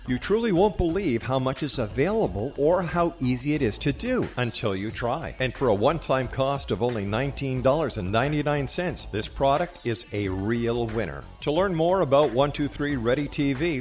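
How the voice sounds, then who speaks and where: Animated male radio host with ad pitch. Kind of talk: scripted advertising pitch. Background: faint music.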